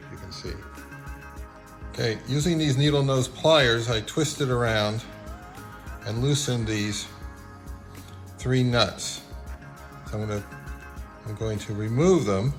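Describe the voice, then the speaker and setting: A man hosting a video, explaining things casually in an unhurried, self-deprecating way. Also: medium pitch. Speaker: video host.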